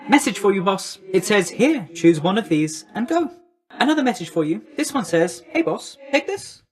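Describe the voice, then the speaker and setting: A man's voice: high-pitched, very animated, moderate pace, faint music behind. A man voicing a breezy, chummy young messenger god in a video game, with a slangy, glib, friendly manner. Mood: breezy, businesslike.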